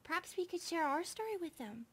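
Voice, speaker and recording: A woman's voice: very high-pitched, sing-song, a little fast, a bit bright, exaggerated voice. A woman voicing an affectionate, childlike eighteen-year-old centipede maid in an innocent, cheerful way for an ASMR roleplay. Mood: curious, sweet.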